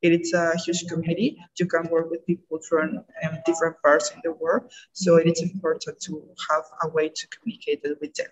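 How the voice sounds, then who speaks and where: A woman's voice: deep voice, a little fast, hardly any pauses, breathy edge, poor audio. A young woman answering as a guest on an online webinar, earnest and plainly phrased, over an earphone mic. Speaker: guest speaker. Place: indoor room, video call. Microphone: earphone mic on video call.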